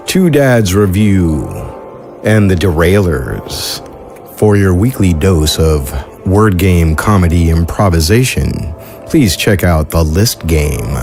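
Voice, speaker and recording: A male host delivers a scripted introduction to a fiction podcast. His voice is very low and measured, with music softly underneath.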